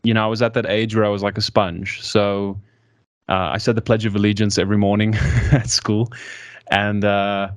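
Twenties guy from south africa, interview guest, thoughtful and kinda halting, low voice. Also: level tone, no echo. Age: 20s. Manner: reflective, thoughtful, with frequent self-corrections. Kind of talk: casual conversational interview.

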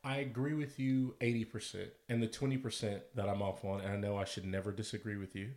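A man co-hosting a podcast who speaks in a friendly, measured way and uses casual fillers. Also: fairly low pitch, flat delivery, a little mellow. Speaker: podcast co-host. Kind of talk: casual conversation about workplace groups.

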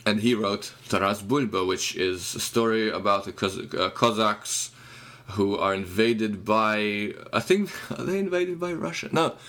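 A man's voice: on the low side, unhurried, hardly any pauses, a bit bright. A young man from Ukraine with a Ukrainian accent, a musician guest chatting on a podcast, rambling and correcting himself with wry humor. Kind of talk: casual podcast conversation.

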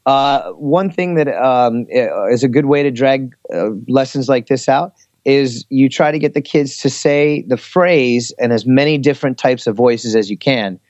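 A man chatting casually and giving teaching advice, explaining things in a hesitant, halting way. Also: medium pitch, darkish tone, dry recording. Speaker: English teacher in Korea, talk show speaker. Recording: slightly dull, lo-fi audio.

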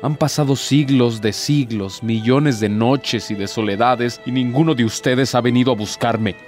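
A man's voice: medium pitch, normal speed, darkish tone, natural voice. Guy doing a bitter proud devil in a radio play, sarcastic n theatrical, bit of music behind. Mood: incredulous, bitter. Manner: theatrical, rhetorical, sardonic.

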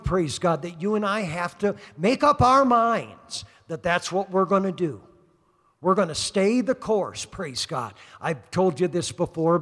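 A man's voice: high voice, a bit bright, big-room sound. A man in his sixties preaching a sermon at a church service, his lines dotted with "praise God" as he rises into fervent exhortation. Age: 60s. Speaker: pastor.

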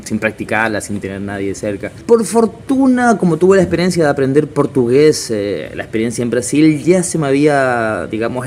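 A man in his thirties from Chile, a circus artist, answering interview questions in a casual, halting way with many fillers and restarts. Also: mid-range voice, a little mellow, a little hiss or hum. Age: 30s.

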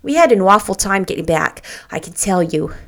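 A worldly young gossip from a storybook, played by a woman narrator. She confides eagerly and emphatically, with a very animated melody to her speech. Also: mid-range voice, moderate pace, lightly acted. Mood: chatty, lively.

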